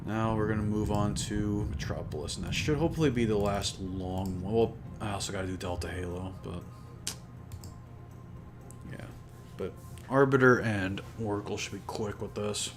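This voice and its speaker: A man with a deep, low voice, chatting casually while modding on a live stream, talking to himself and sounding tired and flat. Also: normal speed. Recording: music playing behind.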